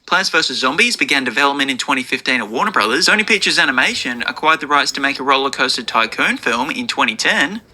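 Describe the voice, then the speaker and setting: A man's voice: mid-range voice, expressive, quick pace, few pauses, sharp tone. A man reading scripted, informational narration about entertainment news. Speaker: video narrator.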